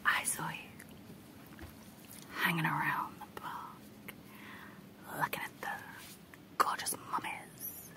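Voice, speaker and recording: A woman voicing a creepy evil clown dentist in a menacing, teasing, breathy whisper for an ASMR horror roleplay. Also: natural voice. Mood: creepy, predatory. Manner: slow, teasing, menacing, drawn-out phrases. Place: small dark room indoors, close to the mic.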